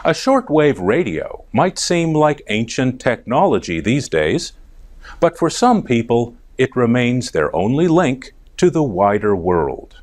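A man's voice: mid-range voice, sing-song, a little slow. A middle-aged American man presenting a scripted news report for English learners, enunciating carefully phrase by phrase. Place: TV studio.

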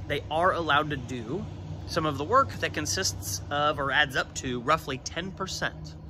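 A man in his thirties, a renovation loan consultant, explaining a question casually and matter-of-factly to a phone camera held at arm's length outside a brick building. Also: fairly high pitch. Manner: plain-spoken, matter-of-fact. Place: outdoors by a brick building on a street. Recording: outdoor street noise behind.

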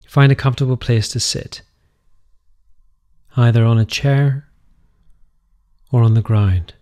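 Male meditation guide, mid-pitched voice, gentle instructional delivery, short phrases with space between them. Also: fairly even intonation, measured pace.

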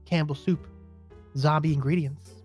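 A man's voice: a little high, steady tone, moderate pace, a little mellow, slightly rough, soft background music. A man bantering playfully as a gaming video co-host, with a goofy, theatrical way of talking. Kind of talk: playful improvised gameplay banter.

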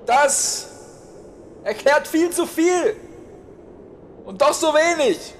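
An exasperated, world-weary colleague in an audio story, acted out by a male reader. The voice sits extremely high and drips with dry sarcasm. Faint noise and ambience lie behind it. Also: lively intonation, drawn-out words, full character voice. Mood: dry, resigned.

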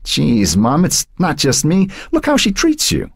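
A man's voice: fairly high pitch, wildly swooping pitch, measured pace, creaky, husky, no room sound, studio quality, voice pushed up, full character voice. A man voicing a teenage boy who speaks to his mother in an anxious, plaintive way. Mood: protective.

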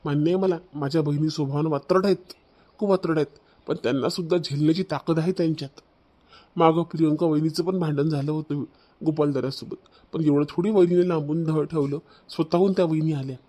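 Male actor as aggrieved young husband, higher-pitched, accusing, proud, Vidarbha Marathi accent, cartoon family drama. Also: fairly even intonation, quick pace, warm tone, natural voice. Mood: indignant. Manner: accusing, ranting. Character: aggrieved, proud young husband complaining about his wife.

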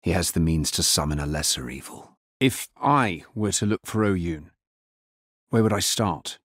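An actor playing a grave, world-weary necromancer hero in a fantasy video game, speaking solemnly in measured, understated lines. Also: male voice, sits low, a bit bright, dry recording, clean studio sound. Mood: grave, determined.

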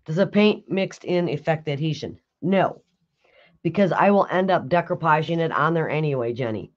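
A raspy, hoarse woman hosting a live craft tutorial, chatting with viewers and answering their comments while she works. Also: low voice, expressive, moderate pace, dull audio. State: hoarse after illness. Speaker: craft video host. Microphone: overhead camera on a craft table.

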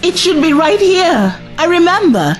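A woman's voice: extremely high pitch, big pitch swings, measured pace, soft background music, exaggerated voice. An actress playing a plucky, exasperated young woman companion in an audio drama.

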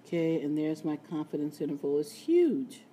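Raspy woman, low voice, mellow tone, chatty statistics teacher, faint background noise. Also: sing-song, moderate pace. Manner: chatty, playful asides. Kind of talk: screencast math lesson. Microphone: tablet screen recording.

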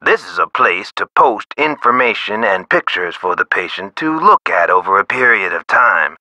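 A man's voice: fairly low pitch, sing-song, moderate pace, sharp tone, no room sound, exaggerated voice. A man playing a chatty, eccentric hospital nurse in a video game, explaining things with theatrical, whimsical emphasis. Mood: earnest, explanatory. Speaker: nurse character in an adventure game.

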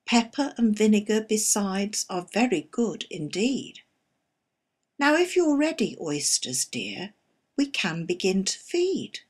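A woman voicing a smooth-talking male walrus in a verse story, coaxing, grandly polite and falsely sympathetic. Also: medium pitch, sing-song, takes it slowly. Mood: grandly genial.

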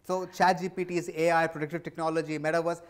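A man's voice: a little high, flat delivery, quick pace. A man in his forties with an Indian accent, answering interview questions conversationally as a business executive, with emphatic repetition. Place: indoor office lounge set. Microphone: lapel mic.

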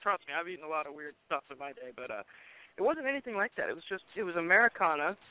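A film director from Colorado, talking casually and joking in an interview with plenty of fillers. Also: male voice, a little high, expressive, rapid-fire, dry recording. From Colorado, USA. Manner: joking, talkative, thinks aloud with fillers. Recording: tinny phone line.

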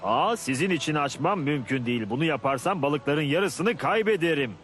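A man's voice: a little high, lively intonation, moderate pace, a bit bright, slight background noise. A man voicing a boastful, hot-tempered fishing captain in a dubbed educational cartoon, brash and blustering. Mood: indignant.

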